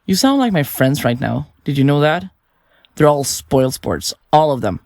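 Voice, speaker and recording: Female serial-killer podcaster, low-pitched for a woman, swooping intonation, flippant, self-absorbed, chatty, audio drama, dry close sound. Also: big pitch swings, moderate pace, a little mellow, no room sound. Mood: gleeful, self-assured. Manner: blithe, chatty, flippant, theatrical. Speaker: suspect under interrogation.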